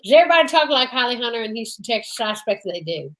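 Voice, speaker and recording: A woman's voice: fairly high pitch, sing-song, rapid-fire, a bit bright. A woman co-hosting a comedy livestream, bantering casually and playfully, often repeating phrases for comic effect. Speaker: co-host of a comedy radio show livestream.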